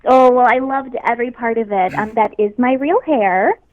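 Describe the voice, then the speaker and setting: A young adult actress with a high voice, chatting easily as an interview guest and laughing often. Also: female voice, normal speed, muffled recording. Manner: easygoing, laughs often, talks about herself in a light way. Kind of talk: casual interview chat.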